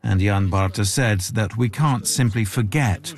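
A man reporting as a news correspondent, reading a measured scripted report and paraphrasing the people he interviewed. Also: lowish voice.

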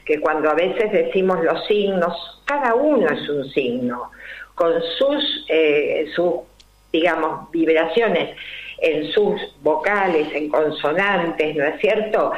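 An older woman with a Rioplatense Spanish accent, a numerology guest explaining her ideas slowly and deliberately, full of fillers and rhetorical questions. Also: sits low, expressive. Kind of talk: explanatory interview talk. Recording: roomy, dull lo-fi recording.

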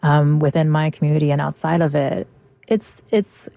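Low, gravelly-voiced woman radio host. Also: flat delivery, moderate pace, dark tone, dry recording. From United States. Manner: thoughtful, conversational. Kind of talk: radio interview.

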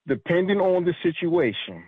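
A man from New York City calling into a radio show, speaking in a measured, matter-of-fact way. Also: high voice, big pitch swings, breathy edge, no room sound. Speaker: caller, retired federal officer. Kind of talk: phone-in commentary. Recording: tinny phone line.

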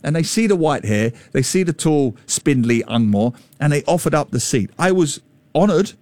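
A man in his fifties hosting a radio talk show, telling stories in a chatty, jokey way with a slightly loud voice. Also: on the high side, moderate pace, dry recording. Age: 50s. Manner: chatty, jokey, animated storytelling. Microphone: studio microphone.

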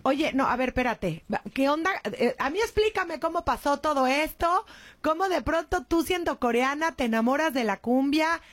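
Woman, talk show host, effusive, chatty, high voice. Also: animated, moderate pace. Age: adult with a young daughter. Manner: effusive, chatty, repeats "señores, señores". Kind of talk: lively talk show interview.

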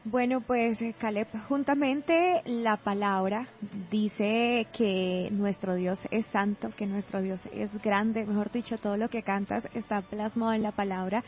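A woman's voice: medium pitch, fairly even intonation, a little slow, darkish tone, highs cut off. A woman co-hosting a radio interview, speaking in a devotional style as she leads into a question. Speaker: radio co-host.

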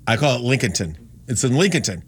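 A man's voice: medium pitch, very animated, fast, husky. Chatty male radio host, plain voice. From North Carolina. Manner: chatty, rambling, self-correcting. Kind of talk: casual on-air talk.

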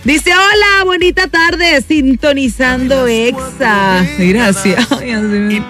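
A woman's voice: high voice, slow, over music. A young woman with a Mexican accent hosting a live radio show, reading listener messages in a playful, chatty way and laughing at herself. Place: radio studio. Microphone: studio mic.

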